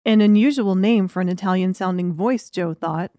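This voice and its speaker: A woman narrates a scripted audiobook story. Her voice sits at a medium pitch. The recording is dry and close, without room sound. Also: normal speed, dark tone, dry recording.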